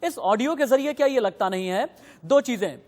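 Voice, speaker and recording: A man's voice: extremely high pitch, lively intonation, normal speed, a little mellow. Insistent male news anchor, echoing reverb. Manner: insistent, emphatic, repeating instructions. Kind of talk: live news anchoring, questioning reporters and guests.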